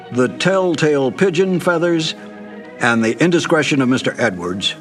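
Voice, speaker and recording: An older American man reading a scripted announcement as a programme presenter. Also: medium pitch. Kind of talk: scripted narration.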